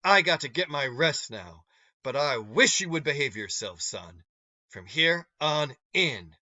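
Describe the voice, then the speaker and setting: A man voicing a stern but kind, motherly older woman in a storybook read-aloud, scolding in a folksy, emphatic, drawn-out way. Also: a little high, animated, bright, forceful tone, highs cut off, full character voice. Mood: stern, caring.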